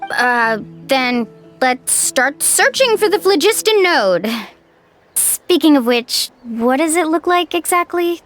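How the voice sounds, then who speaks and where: A woman's voice: high voice, animated, slow, a bit bright, exaggerated voice. A woman playing an upbeat young adventure guide in an anime-style video game, casual and encouraging as a team leader. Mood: upbeat, curious. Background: game music.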